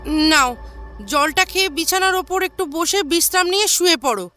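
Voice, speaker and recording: A woman's voice: extremely high pitch, expressive, normal speed, pushed and bright, soft background music, heavily acted. A woman playing a worried, practical middle-aged wife in an audio drama. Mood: caring, practical. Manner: anxious, concerned.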